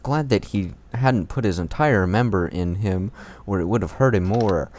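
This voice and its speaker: A man with a lowish voice reads fan fiction aloud for laughs. He is casual and amused, swears freely and breaks off to comment, over faint noise with clicks and typing from a desktop setup. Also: normal speed, darkish tone. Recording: noisy home recording with clicks and typing.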